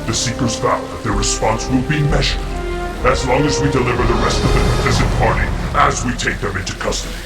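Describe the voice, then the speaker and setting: An actor playing a scornful alien council leader in a game cutscene, with pompous, theatrical oratory. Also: male voice, mid-range voice, fairly quick, lightly acted. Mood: cold scorn. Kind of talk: dramatic game cutscene acting. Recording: roomy, distant, music and rain behind.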